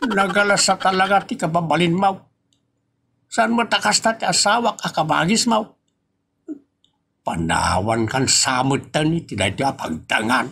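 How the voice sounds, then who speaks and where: A man's voice: a little high, very animated, measured pace, creaky, sharp tone, slightly rough, full character voice. A man with an Ilocos accent from the northern Philippines playing a grumbling, teasing older father in a comedy audio drama. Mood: combative.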